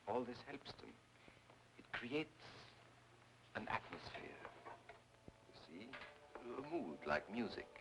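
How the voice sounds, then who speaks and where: An actor playing a smooth, controlling spiritualist medium, speaking in measured, theatrical phrases in a mid-century film noir style. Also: male voice, low voice. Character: smooth, solemn male spiritualist medium, softly persuasive and controlling. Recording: old film soundtrack, noisy background hiss.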